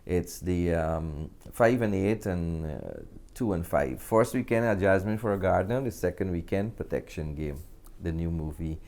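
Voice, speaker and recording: A man from Guyana with a Guyanese accent talks casually as an interview guest, often pausing mid-sentence. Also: sits low, a little mellow. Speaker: interview guest, actor and filmmaker.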